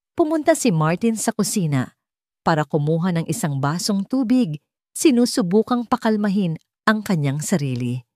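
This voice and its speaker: A woman's voice: on the low side, mellow, no echo, clean studio sound. A woman narrating a scripted dramatic story as a storyteller.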